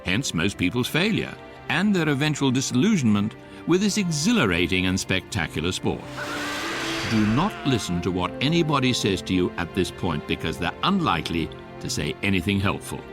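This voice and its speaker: An actor voicing a wry electronic guidebook, narrating a comic science fiction radio drama in a measured, deadpan way. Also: male voice, lowish voice, music in the background. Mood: wry, instructive.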